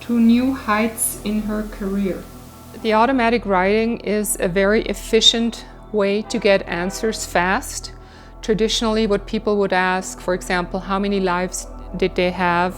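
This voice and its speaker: A woman from Switzerland, a medium calmly and steadily explaining her work in a documentary interview. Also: mid-range voice, soft background music. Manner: calm, explanatory, measured. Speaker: automatic writing medium.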